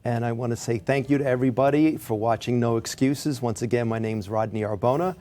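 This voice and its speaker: A man hosting a TV interview show, encouraging and quick with short affirmations. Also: medium pitch, warm tone. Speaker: talk show host. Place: television studio.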